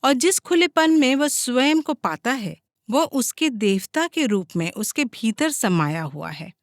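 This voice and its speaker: A woman's voice: fairly high pitch, lively intonation, unhurried, dry recording, studio quality. A woman reading a scripted audiobook as its narrator.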